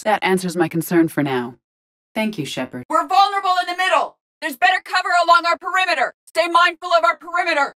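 An actress voicing a female artificial intelligence in a video game, speaking in a measured, formal, precise and detached way. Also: lowish voice, lightly acted. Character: calm, analytical female artificial intelligence in an android body.

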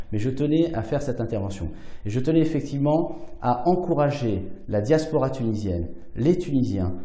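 A French businessman in his forties speaks earnestly to the camera, pausing often with fillers as he makes his case. His voice is dark and mellow, of middling pitch. The small room around him gives a noticeable echo. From France. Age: 40s. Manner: earnest, persuasive, many fillers, gestures with hands. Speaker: business leader. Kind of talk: direct-to-camera video address. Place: small indoor studio against plain backdrop.

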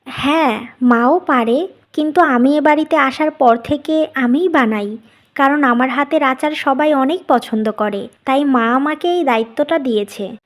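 A woman acts out a gentle-hearted young daughter-in-law for a cartoon tale. The voice is very high, and she comes across as kind and dutiful. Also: measured pace. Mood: friendly, obliging. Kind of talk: Bengali cartoon story dubbing.

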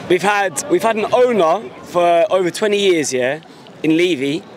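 A man's voice: sits high, normal speed. A man in his twenties, a football fan, talking passionately and emphatically in a street interview outside a busy stadium. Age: 20s. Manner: passionate, emphatic, repeats words. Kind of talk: street vox pop interview. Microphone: handheld mic held by interviewer.

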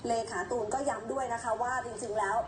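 Woman news presenter, higher pitch, conversational reporting, noticeable background noise. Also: fairly even intonation, quick pace. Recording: roomy echo, clear background noise.

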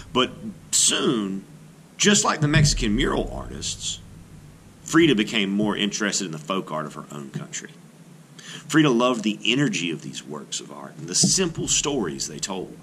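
A man reading a children's biography aloud like an art teacher, in an expressive storytelling way with sentences that trail off. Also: on the low side, rough recording. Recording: noticeable background noise.

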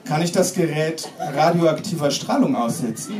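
A man in his forties gives a live reading of a satirical text with mock-solemn, deadpan theatricality. His voice sits slightly high. It is picked up from some distance in an echoey small room. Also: normal speed. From Germany. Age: 40s. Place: small pub-like room with audience, indoors. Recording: roomy, distant mic, clearly noisy background.

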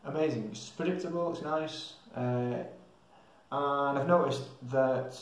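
A man's voice: mid-range voice, unhurried, faint music behind. A young man with an English accent rambling casually to camera as a travel vlogger, hesitant and thinking aloud. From England. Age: young adult. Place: small tiled room indoors. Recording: very echoey small hard-walled room.

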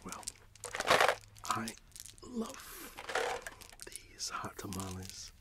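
A middle-aged man softly whispering in an ASMR roleplay as a friendly, chatty shopkeeper, close to the microphone. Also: lowish voice, wildly swooping pitch, very slow. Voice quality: soft, mostly whispered. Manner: friendly, chatty, apologetic shopkeeper patter with repeated words. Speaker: ASMR roleplay performer playing a friendly candy shop owner.

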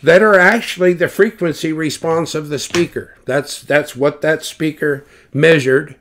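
A man's voice: a little high, a little slow, fairly bright tone, slight background noise. A man giving an informal technical explanation as an expert, posing questions and then answering them. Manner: explanatory, self-correcting, rhetorical questions. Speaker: loudspeaker designer explaining measurements.

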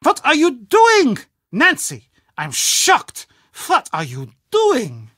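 High-pitched, fussy German burgomaster. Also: male voice, huge pitch swings, takes it slowly, pushed and bright, dry recording, studio quality, full character voice. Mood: indignant. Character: fussy, excitable, self-important castle burgomaster, adult man. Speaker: castle Bürgermeister. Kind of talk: video game character acting.

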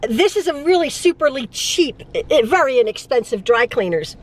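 Lively high-voiced chatty middle-aged woman. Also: fairly quick. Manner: chatty, stumbling restarts, frequent laughs. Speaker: vlogger and mother. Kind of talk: casual chat. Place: inside a parked car. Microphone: phone held at arm's length.

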